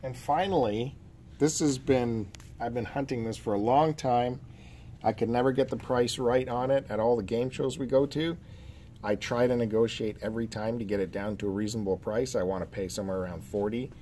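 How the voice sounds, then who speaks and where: A man's voice: medium pitch, fairly even intonation, a little fast, warm tone. A man speaking in casual, off-the-cuff chat as he shows off purchases, recorded indoors on a handheld phone. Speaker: podcast co-host showing collection pickups. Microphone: handheld phone camera mic. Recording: noticeable background noise, amateur phone video.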